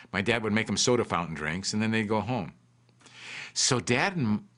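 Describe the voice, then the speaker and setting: Deep-voiced older American man. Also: a bit bright. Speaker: interviewee recalling family memories. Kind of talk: casual reminiscing.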